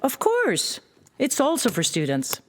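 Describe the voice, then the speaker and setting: A woman's voice: high voice, wildly swooping pitch, a little slow, exaggerated voice. An actress playing a polite, slightly hesitant woman in audio drama dialogue.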